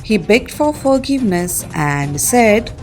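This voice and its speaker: A woman's voice: mid-range voice, very animated, slow pace, a little mellow. A woman narrating a dramatized story for a student production in a scripted storytelling style. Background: music.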